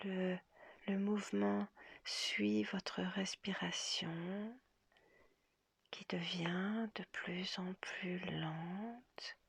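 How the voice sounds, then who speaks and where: A woman guides a relaxation session in a soft, half-whispered voice with a very creaky edge. She speaks gently and very slowly, leaving long pauses between phrases. The recording is close and dry. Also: mid-range voice, fairly even intonation, no room sound. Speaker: yoga nidra guide.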